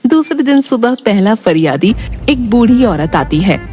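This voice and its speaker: A woman's voice: a little high, sing-song, measured pace, mellow. A woman reading scripted narration for a children's story. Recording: music behind.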